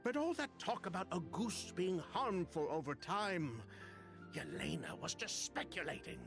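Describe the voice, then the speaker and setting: An animated, defensive, cynical man. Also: sits high, measured pace, gravelly, over music, exaggerated voice. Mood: defensive, dismissive. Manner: argumentative, scoffing. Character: defensive, cynical male merchant, bitter and self-justifying. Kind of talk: dramatic video game dialogue.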